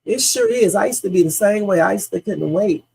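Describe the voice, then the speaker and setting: A woman in her fifties talks off the cuff on a livestream with a gravelly edge and a husky, rough texture. She is blunt and salty and drifts from story to story before landing on advice. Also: medium pitch, fairly quick, a little mellow, low-quality recording. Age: 50s. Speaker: livestream host. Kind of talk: rambling casual livestream chat.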